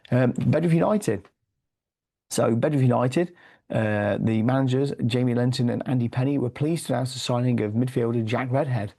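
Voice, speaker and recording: Guy with a cold, croaky dark voice, uhs everywhere going through footy results. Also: mid-range voice. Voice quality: hoarse, gravelly. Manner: many "uh" fillers and restarts. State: losing his voice from a cold. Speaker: football league reviewer and commentator. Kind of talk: weekly football review, read from notes.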